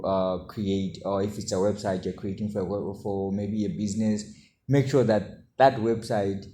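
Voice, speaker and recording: A man giving an informal coding tutorial to camera, halting as he explains and often checking in with short tags. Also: on the low side, dark tone. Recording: roomy echo, faint background noise.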